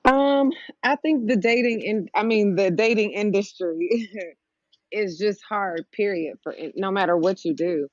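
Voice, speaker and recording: A woman's voice: mid-range voice, measured pace, a little mellow, dry recording. Hesitant woman on a dull, dry mic. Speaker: podcast guest, comedian. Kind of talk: casual interview chat.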